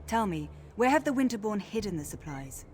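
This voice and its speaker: An actress playing a gracious, earnest orc high priestess in a video game, speaking in a formal, stately, welcoming manner. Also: female voice, very animated, brisk, a little mellow, slight background noise, close to an everyday voice. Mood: earnest, concerned. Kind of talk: video game character acting.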